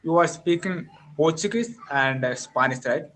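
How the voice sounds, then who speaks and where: A young man from India, speaking natively and practicing in a halting, hesitant way on a video call. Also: a little high, lively intonation, fairly bright tone. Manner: hesitant, halting learner English with frequent laughs. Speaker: English learner, channel host. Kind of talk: casual conversation practice. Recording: faint background noise.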